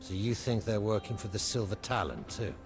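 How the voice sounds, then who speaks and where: An actor playing a gruff, distrustful male bounty hunter in a game cutscene, terse, blunt and hard-boiled. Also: fairly low pitch, lively intonation, a little fast, over music. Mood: suspicious. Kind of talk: video game cutscene acting.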